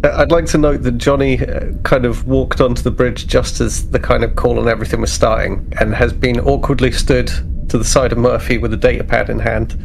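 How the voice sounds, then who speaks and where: A man's voice: medium pitch, steady tone, fairly quick, creaky, background noise. A man playing in a tabletop game podcast, explaining his character in a casual, hedging way. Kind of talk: casual improvised roleplay chat.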